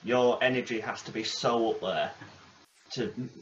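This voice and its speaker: A man's voice: medium pitch, unhurried. A man asking casual interview questions as a podcast host, in a hesitant way. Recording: muffled, noisy, lo-fi connection.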